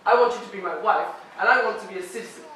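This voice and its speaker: Man doing a proud young immigrant, careful halting english, stage acting, distant voice, echoing hall. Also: high voice, sharp tone, a little hiss or hum. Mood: furious. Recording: echoey room, voice from far off.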